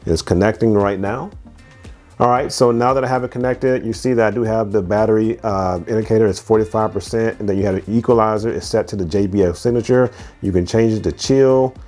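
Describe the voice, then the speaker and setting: A man's voice: on the low side, steady tone, a little fast, darkish tone, faint music behind. A man giving a casual, conversational product review as host, explaining things step by step and repeating phrases as he goes.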